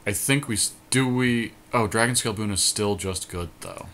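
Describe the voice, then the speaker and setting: A man's voice: fairly low pitch, normal speed, fairly bright tone, a little hiss or hum. A man co-hosting a gaming podcast, casually thinking through card game moves aloud in informal, slangy language.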